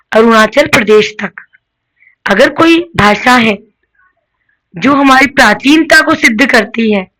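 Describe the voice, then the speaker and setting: Lady teacher, slow and patient, bit high voice, asks then answers herself. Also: fairly bright tone, low-quality recording. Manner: mixes English words into Hindi. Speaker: Sanskrit teacher. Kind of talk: classroom-style lesson.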